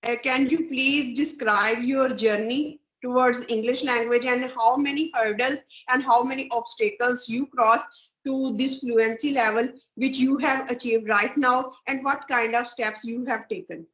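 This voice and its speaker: A woman's voice: a little high, fairly even intonation, measured pace, fairly bright tone. A woman speaking as an interview guest in a formal, encouraging, speech-like way. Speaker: guest YouTuber. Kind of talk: interview answers. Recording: muffled, roomy audio.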